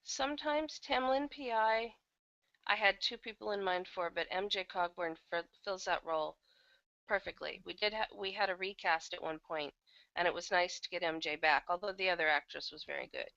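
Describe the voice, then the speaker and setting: A woman's voice: lowish voice, normal speed, fairly bright tone, dry recording, highs cut off. A woman chatting casually as an interview guest, talking as an audio drama writer and producer.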